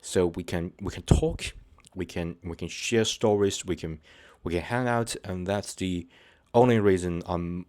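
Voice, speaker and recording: A man hosting his own channel, talking to viewers unscripted in a halting, hesitant way, full of fillers and restarts, earnest and sincere. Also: sits low, a little mellow, no room sound. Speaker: channel host. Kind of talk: unscripted personal talk to viewers.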